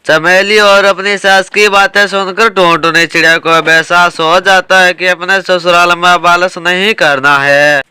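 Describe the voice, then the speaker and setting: A woman narrating a cartoon story with steady, even storytelling. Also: fairly low pitch, unhurried, hardly any pauses, smooth, dry recording.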